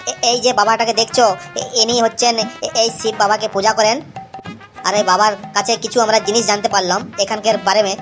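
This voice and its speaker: A man's voice: high-pitched, level tone, moderate pace, fairly bright tone, faint music behind. A man voicing a weary, chatty young male pilgrim in an amateur cartoon, rambling and repeating himself. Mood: devout. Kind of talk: amateur cartoon dialogue, vlog-like.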